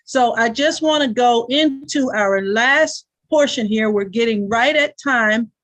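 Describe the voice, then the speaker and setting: A woman's voice: on the high side, unhurried, fairly bright tone. A woman hosting a community forum as mayor, speaking in a gracious, motivational way.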